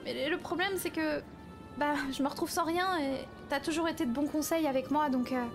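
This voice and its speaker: Girl playing a young actress in game rp, high voice, hesitant, repeats herself, music behind. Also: brisk. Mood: dejected, apologetic. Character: young film actress, awkward, earnest, worried daughter. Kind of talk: improvised game roleplay.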